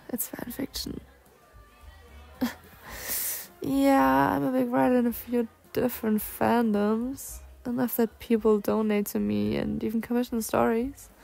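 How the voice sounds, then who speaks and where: Casting: female performer as bookish, insecure cougar-woman in her twenties, slow-spoken with some vocal fry, courteous and awkward, ASMR date roleplay. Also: fairly high pitch, fairly even intonation, warm tone, faint music behind. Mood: sheepish, bragging. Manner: formal, proper wording with flustered hesitations.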